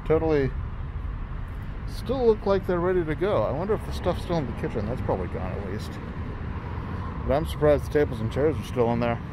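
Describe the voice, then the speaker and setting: A man's voice: a little high, animated, a little fast, pauses often. A man vlogging casually as he walks around outside, musing aloud with lots of fillers, recorded on a handheld phone. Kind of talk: casual walking vlog narration. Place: outdoors in a parking lot by a closed restaurant. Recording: outdoor traffic noise.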